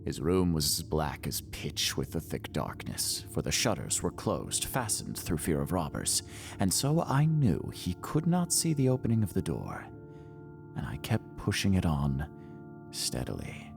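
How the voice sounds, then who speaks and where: A deep, creaky-voiced madman tells a Gothic horror tale of his own crime. He is nervous, boastful and melodramatic, lurching from hushed secrecy to shrill insistence that he is sane, with music playing behind him. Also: wildly swooping pitch, measured pace, full character voice. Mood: boastful, cunning. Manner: melodramatic, swinging from hushed whispers to shrill outbursts. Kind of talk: theatrical Gothic horror story monologue.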